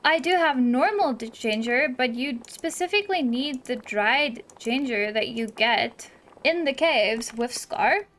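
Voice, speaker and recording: High-voiced young woman chatting through a game. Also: expressive, a little slow. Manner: chatty, thinking out loud. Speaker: gaming YouTuber. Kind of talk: casual let's play commentary.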